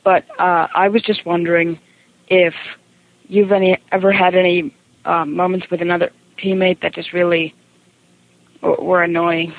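A man's voice: high voice, flat delivery, normal speed, vocal fry, pauses often, no echo. A man leaving a casual phone message as a caller, heard through a telephone. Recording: tinny narrow phone line.

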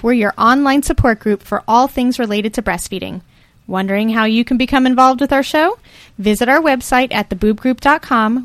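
A woman hosting a podcast, reading the show's introduction in a bright, friendly announcer manner. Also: fairly high pitch, dry recording. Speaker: podcast host. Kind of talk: scripted show introduction.

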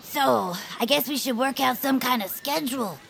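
Creaky, grandiose little inventor creature. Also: female voice, sits high, normal speed, background noise. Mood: matter-of-fact. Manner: theatrical, self-important, formal phrasing. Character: eccentric, excitable small inventor creature, grandiose and dramatic. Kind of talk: cartoonish audio fiction acting.

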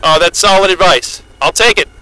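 A man's voice: sits high, fairly quick, no echo, rough recording. A man playing an eager, geeky American astrophysicist in an audio drama, speaking in an earnest, wordy, naturalistic way. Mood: friendly. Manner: earnest, wordy, enthusiastic explainer. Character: eager, geeky male astrophysicist starting a solo Antarctic posting. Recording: loud engine hum of a helicopter cabin.

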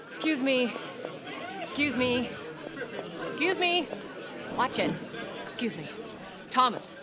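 An actress playing a shrewd, impatient first lady in a comedic audio drama, speaking in a brisk, commanding, dry way. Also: sits high, sing-song, over a noisy crowd, full character voice. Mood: brisk, sneaky.

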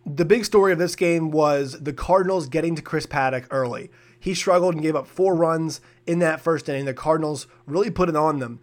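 A young man with a raspy voice, hosting a sports podcast with energetic, opinionated commentary and frequent fillers and restarts. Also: a little high, fairly quick, hardly any pauses. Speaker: podcast host.

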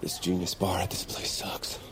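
A man's voice: low-pitched, flat delivery, moderate pace, creaky, a bit bright. An actor playing a brash, cocky, foul-mouthed man who fancies himself an action hero in a comedy audio drama. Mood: irritated. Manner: brash, swearing, mock-serious. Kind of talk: comedic audio fiction acting.

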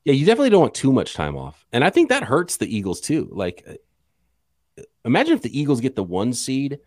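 A man co-hosting a sports podcast, talking casually with an animated, joking delivery. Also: medium pitch, brisk, dry recording, studio quality.

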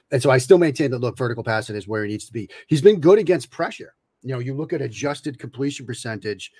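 A man's voice: mid-range voice, a little mellow, dry recording. A man appearing as a guest analyst on a podcast, explaining things in an analytical way with lots of "you know" and restarts. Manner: analytical, many fillers and restarts. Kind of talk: casual podcast conversation.

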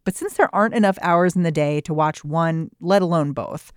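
A woman hosting a news podcast, narrating a scripted segment in a brisk, clear storytelling manner. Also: deep voice, dry recording, studio quality. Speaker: podcast host.

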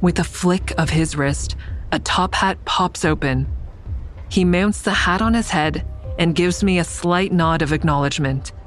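A woman playing a guarded, tense heroine in an audio drama, telling her story in a measured, deliberate, suspenseful way. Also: fairly low pitch, faint music behind, close to an everyday voice. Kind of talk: first-person audio drama narration and dialogue.

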